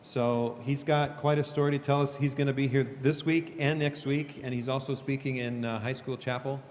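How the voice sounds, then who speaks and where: A man's voice: medium pitch, fairly even intonation, normal speed, darkish tone, slight background noise. Man introducing a speaker in a hall. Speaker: school staff introducing the speaker. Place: school hall. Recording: hall reverb, faint ambience.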